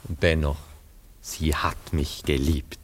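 An actor playing a dreamy, self-absorbed young romantic in a German radio play, speaking in a wistful, lyrical, theatrical way with hesitant pauses. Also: male voice, rumbling low, little pitch movement, a little hiss or hum. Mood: suspicious. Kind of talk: German radio play acting, literary dialogue.

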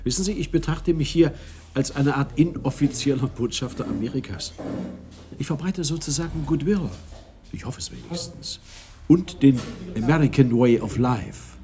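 An actor playing a genial, self-satisfied American expatriate in a radio play, with a self-important manner. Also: male voice, medium pitch, expressive, moderate pace, noisy background, natural voice. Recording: crowd murmur behind, off-mic.

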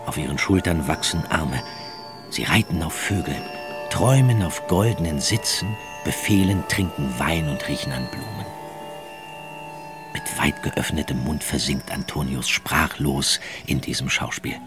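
Casting: male narrator, low voice, measured storytelling for radio drama, over prominent music.

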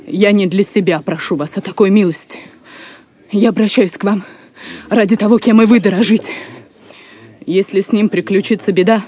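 Actress as a mellow, pleading young woman. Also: mid-range voice, natural voice. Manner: solemn, imploring. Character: earnest, pleading young woman, dignified merchant's daughter. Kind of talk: theatrical audio drama acting.

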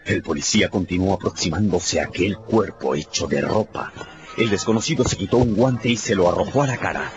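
A man's voice: low-pitched, fairly quick, highs cut off, background noise. A man delivering dramatic scripted narration for a radio story.

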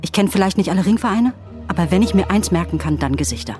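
A woman's voice: fairly low pitch, expressive, quick pace, a little mellow, soft background music, lightly acted. Actress as insistent young female investigator. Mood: troubled, insistent. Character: sharp, insistent young female former police colleague. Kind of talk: naturalistic radio drama acting.

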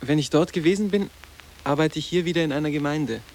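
A man's voice: on the high side, fast talker, darkish tone, a little hiss or hum, lightly acted. A male actor playing a sarcastic, idealistic schoolboy in a radio play, speaking in terse, dry statements. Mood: determined. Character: sarcastic, idealistic teenage schoolboy resisting his elders. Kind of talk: literary German radio play acting.

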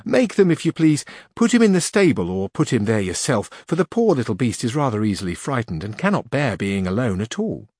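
A man's voice: medium pitch, big pitch swings, a little fast, hardly any pauses, a little mellow, no room sound, studio quality. A man voicing a simple, earthy male squire who talks back cheekily in an audiobook reading. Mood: pleading.

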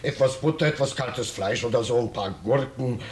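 An actor playing an ingratiating vagrant in a 1950s German radio play, speaking with unctuous false modesty and quiet menace. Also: male voice, medium pitch, moderate pace, slightly rough, lightly acted. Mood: meekly demanding. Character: ingratiating vagrant stranger, slyly menacing, mock-humble. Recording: noisy 1950s radio drama recording.

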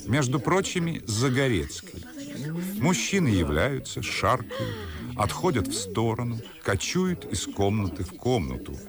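A man's voice: mid-range voice, very animated, unhurried. A man narrating a radio play, announcing stage directions in a scripted, dramatic style. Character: male narrator announcing a ball scene. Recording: crowd murmur behind.

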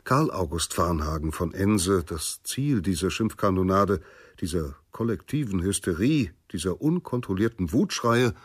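A man with a husky voice giving emphatic, expressive scripted documentary narration. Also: low-pitched, a little slow, dry recording, studio quality.

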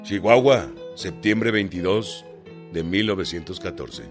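An actor playing a rebel general reading out a formal letter in a radio drama, with music underneath. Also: male voice, low-pitched, expressive, measured pace. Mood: resolute.

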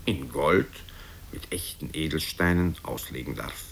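Man voicing a vain deep-voiced diplomat. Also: measured pace, gravelly, a bit bright, full character voice. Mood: pompously servile. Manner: ornate, pompous deference. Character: vain, obsequious male diplomat. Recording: rumbling, noisy old radio tape.

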